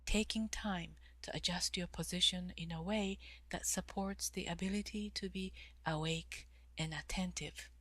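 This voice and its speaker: A woman leading a guided meditation in a calm, measured way, with long pauses and soft, breathy phrase endings. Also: on the low side, no room sound. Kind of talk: guided meditation, slow instruction.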